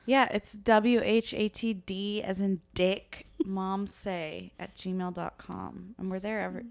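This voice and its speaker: A young woman co-hosts a casual podcast chat, sounding tired. She jokes and swears freely as she talks. Her voice sits at an ordinary middle pitch. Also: little pitch movement, slow pace, vocal fry. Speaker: podcast co-host.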